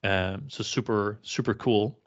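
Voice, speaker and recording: A man co-hosting a tech podcast in a low voice. He talks casually and hesitantly, often restarting his sentences. The audio is a little dull. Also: fairly even intonation, measured pace, fairly bright tone. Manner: hesitant, many fillers and restarts. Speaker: podcast co-host.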